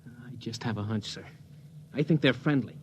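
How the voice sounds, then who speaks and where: An American actor playing an earnest, deferential junior spaceship officer reporting to a superior in a 1950s science fiction radio drama. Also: male voice, mid-range voice, moderate pace, muffled recording, lightly acted. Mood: hopeful, deferential. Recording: vintage radio recording with faint noise.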